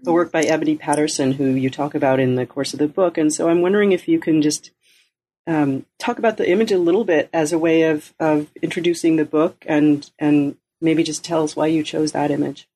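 A woman's voice: low-pitched, fairly even intonation, brisk, mellow. A woman hosting an interview podcast, reading an introduction and then asking conversational questions. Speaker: podcast host.

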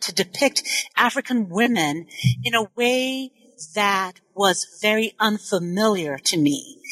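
A woman in her forties, a historian born in Nigeria and a native Igbo speaker, telling her story in an interview with measured, thoughtful speech. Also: mid-range voice, fairly bright tone, dry recording, low-quality recording. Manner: thoughtful, self-correcting, measured. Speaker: historian and author. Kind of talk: interview, personal account.

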